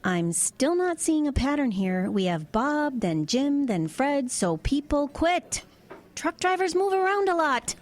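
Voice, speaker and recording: A woman's voice: sits high, very animated, a little slow. An actress playing a blunt, nosy small-town woman in an audio drama, with a pressing, plain-spoken manner. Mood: incredulous, probing. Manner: pressing, plain-spoken, exasperated folksy banter.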